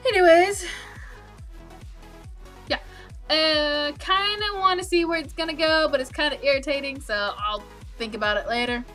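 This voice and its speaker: A woman records informal gameplay commentary in a bright, high voice. She rambles along playfully and casually, like a let's play host, with faint music underneath.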